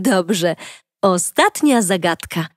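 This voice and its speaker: A woman narrating a children's audiobook as a cheerful young girl, talking brightly and directly to young listeners. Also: mid-range voice, animated, drawn-out words, no echo, studio quality. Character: cheerful young girl telling her own story. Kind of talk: first-person storybook narration for children.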